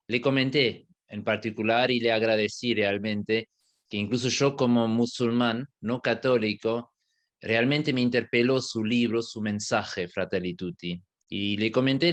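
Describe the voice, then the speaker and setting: Man, imam, non-native accent with an Argentine tinge, low voice, reflective, hesitant fillers. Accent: non-native Spanish, partly Argentine. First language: not Spanish. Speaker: imam and interviewee. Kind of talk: casual interview answer.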